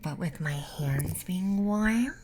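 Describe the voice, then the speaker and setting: A woman voicing a friendly, playful young femboy classmate in breathy, gentle ASMR whispers and soft speech, close to the mic. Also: on the low side, animated, slow, noisy background. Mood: gentle, intimate. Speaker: ASMR performer. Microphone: close ASMR microphone.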